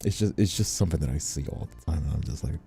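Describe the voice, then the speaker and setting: A man's voice: rumbling low, racing, creaky, warm tone, slightly rough. A man hosting a casual stream chat, venting in a rambling, exasperated way and often repeating himself. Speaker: streamer and host.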